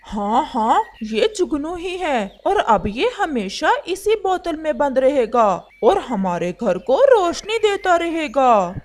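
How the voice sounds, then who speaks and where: A woman voicing a scheming male crow husband in a cartoon story, sly, drawn-out and eager to please. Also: high voice, big pitch swings, darkish tone, full character voice. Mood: proud.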